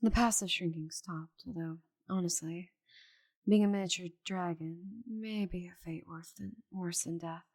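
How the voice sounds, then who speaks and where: A proud, stubborn she-dragon, played by a woman in an intimate ASMR roleplay. Her voice is low and gravelly with a husky grain. She speaks dryly, with grudging affection. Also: fairly even intonation, darkish tone. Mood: dry, resigned. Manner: proud, dry, halting when flustered. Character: giant stubborn female dragon, cursed to shrink, grudgingly affectionate.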